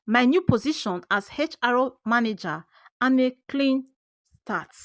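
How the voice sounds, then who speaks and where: A Nigerian woman narrates a first-person folktale with theatrical expression. Her delivery is unhurried and very lively in pitch, in a dry, close recording. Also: no echo. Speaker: storyteller.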